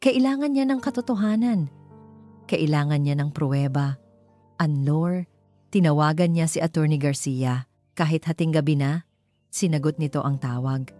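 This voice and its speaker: A woman's voice: sits low, normal speed, pauses often, warm tone, dry recording. A woman narrating a scripted dramatic love story, reading as a storyteller. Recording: music bed under the voice. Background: background music.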